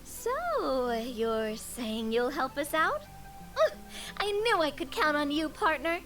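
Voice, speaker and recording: A woman's voice: high voice, big pitch swings, slow, fairly bright tone, soft background music, full character voice. A woman playing an enthusiastic young roleplay fan in a video game, theatrical and exclamatory, an eager ringleader. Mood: delighted.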